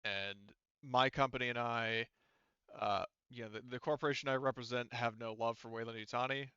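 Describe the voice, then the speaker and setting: Casting: male game master, mid-pitched and clear, rambling casual explanations, close dry room. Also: fairly even intonation, sharp tone, dry recording, lo-fi sound. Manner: halting, rambling, filler-heavy explanations. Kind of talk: casual tabletop game narration.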